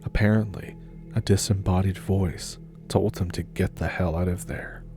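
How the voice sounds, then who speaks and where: Deep-voiced man reading scary stories. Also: steady tone, over music. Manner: measured, unhurried reading. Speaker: storytelling channel host. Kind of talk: scripted narration of scary stories.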